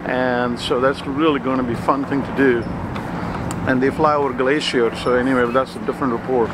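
A man at an ordinary pitch chats hesitantly to his own camera, stopping on many fillers. Heavy train noise sits loud under his voice. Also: fairly even intonation, fairly quick. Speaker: vlogger. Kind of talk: casual narration to camera. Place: train coach. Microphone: handheld camera.

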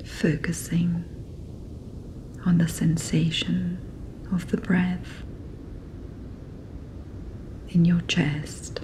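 A woman narrating a guided sleep meditation in a soft, breathy, whispery, soothing manner. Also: fairly low pitch, level tone, drawn-out words, pauses often, slight background noise, poor audio.

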